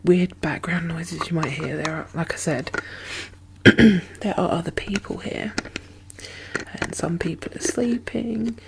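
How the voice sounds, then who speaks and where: A woman playing a gentle, chatty medic in a zombie apocalypse ASMR roleplay, speaking softly and hesitantly with a reassuring manner. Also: lowish voice, takes it slowly, gravelly, noisy background. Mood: soft reassurance. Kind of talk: soft-spoken, whispered ASMR roleplay.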